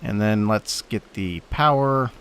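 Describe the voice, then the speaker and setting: A man's voice: on the low side, slow pace, fairly bright tone, a little hiss or hum. A young man with a stuffy voice from allergies, giving casual rambling commentary on his gameplay with lots of fillers. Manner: rambling, thinking aloud with many fillers. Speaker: host.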